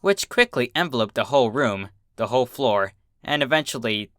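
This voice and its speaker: A man reading a story as narrator, in an expressive, dramatic scripted style. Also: medium pitch, moderate pace, no echo, clean studio sound. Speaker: fan fiction narrator.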